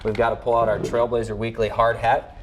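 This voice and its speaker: A man's voice: medium pitch, little pitch movement, a little fast, low-quality recording. A man hosting a sports talk show in a chatty, conversational and wordy way. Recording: faint background noise.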